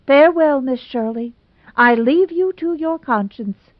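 Woman reader doing a high, theatrical heartbroken young woman, tearful and gushing, dry muffled sound. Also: a little slow, no echo. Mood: melodramatic. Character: melodramatic lovelorn young woman, self-pitying.

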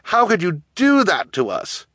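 A man's voice: on the high side, expressive, brisk, a bit bright, no room sound, clean studio sound, voice pushed up. A man voicing an earnest, bookish young orphan boy in a storybook, speaking emphatically. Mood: indignant.

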